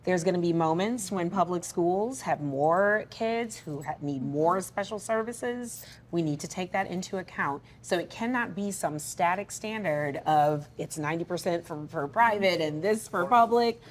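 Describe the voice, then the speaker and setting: A woman with a somewhat low voice argues a point in a considered way during a panel discussion. There is faint rumbling noise behind her. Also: normal speed, hardly any pauses.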